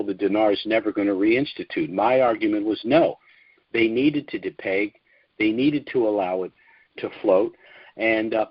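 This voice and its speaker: A man hosting a conference call, delivering an informal financial update in a measured, deliberate way with pauses between short phrases. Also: medium pitch, no echo. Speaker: conference call host and speaker. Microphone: conference call line. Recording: dull lo-fi conference call audio.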